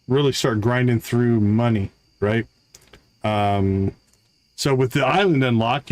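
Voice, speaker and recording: A man giving casual live gameplay commentary, easygoing and explanatory as he chats with his viewers. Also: medium pitch. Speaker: live game streamer.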